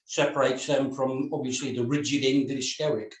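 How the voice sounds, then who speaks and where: A man's voice: medium pitch, fairly even intonation, normal speed. A middle-aged or older man giving casual feedback as a reader in a group discussion, heard with room echo and some distance from the mic.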